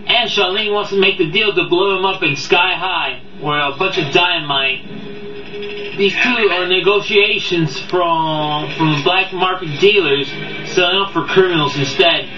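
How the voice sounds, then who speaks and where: A man with a fairly high voice talks through a casual, unscripted review. He rambles, trips over words and repeats himself. The recording is echoey and distant, with music playing behind him. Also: unhurried. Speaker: amateur video game movie reviewer. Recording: boxy echoey room, distant dull mic, background audio.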